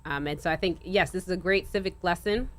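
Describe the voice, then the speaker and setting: A woman guest on a panel talk, measured and explaining political procedure step by step. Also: lowish voice, a bit bright. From United States. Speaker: guest activist.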